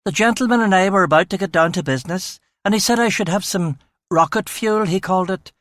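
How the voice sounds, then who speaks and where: Man putting on a high, brash West Belfast girl. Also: brisk, fairly bright tone, dry recording, clean studio sound. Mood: indignant. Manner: blunt, breathless storytelling, harsh. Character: brash, indignant young working-class woman, feisty.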